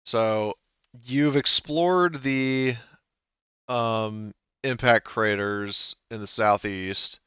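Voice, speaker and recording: A man's voice: mid-range voice, extremely slow, a bit bright, smooth, no room sound, dull audio. A man running a tabletop game on a voice call, rambling and full of fillers and restarts. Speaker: game master.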